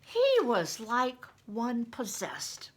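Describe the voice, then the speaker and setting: An older woman reading a story aloud in a deliberate, dramatic, teacherly way, with chatty explanatory asides. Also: on the high side, wildly swooping pitch, husky.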